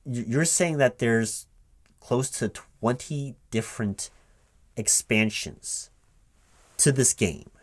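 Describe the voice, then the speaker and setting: Puzzled man in a halting interview roleplay. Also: medium pitch. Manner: halting, puzzled, repeating things back as questions. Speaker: performer playing a recruiting manager interviewing a job applicant. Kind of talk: one-sided spoken ASMR interview roleplay, improvised.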